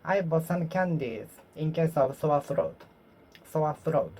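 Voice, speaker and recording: Solo learner, Japanese native, slow and halting, drills each phrase over and over. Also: medium pitch, animated, darkish tone, a little hiss or hum. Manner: repeats phrases, halting and self-correcting. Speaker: English learner. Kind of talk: solo speaking practice, talking to oneself.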